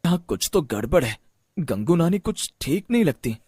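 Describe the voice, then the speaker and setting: A man voicing a curious, uneasy young village hero in a horror cartoon, inquisitive and expressive. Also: fairly high pitch, moderate pace. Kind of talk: Hindi horror cartoon voice acting.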